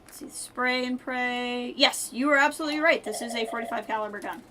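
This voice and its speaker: A woman with a fairly high voice streams a game, chatting casually and thinking out loud. Faint game noise sits behind her. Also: brisk. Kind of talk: casual live gameplay commentary, chatting with viewers.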